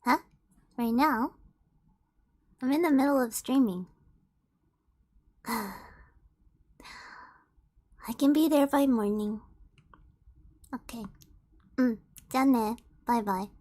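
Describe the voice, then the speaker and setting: Brief: young woman, slightly high voice, slow and giggly, dry close sound. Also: a little mellow, no echo. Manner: giggly, flustered, laughs often. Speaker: streamer reading fanfiction. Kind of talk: casual stream chat and read-aloud.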